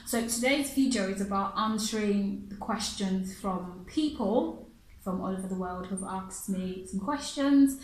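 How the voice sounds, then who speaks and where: Woman, from Africa, non-native English speaker, casual vlog talk, laughs a lot, repeats herself, mid pitch, echoey room. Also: a little hiss or hum. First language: not English. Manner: chatty, laughs often, repeats phrases. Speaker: pronunciation teacher. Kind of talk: casual vlog answering viewer questions.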